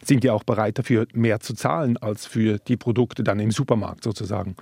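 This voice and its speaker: A man's voice: on the low side, fairly even intonation, fairly quick, gravelly, darkish tone, husky, no room sound. Dry-voiced man reporting. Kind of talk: radio business feature reporting.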